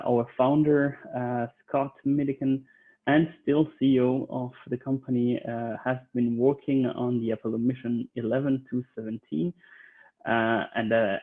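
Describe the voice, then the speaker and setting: A man from Switzerland, a recruiter, giving an online conference presentation from his home office, hesitant with many fillers and restarts. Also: mid-range voice. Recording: tinny phone-like audio.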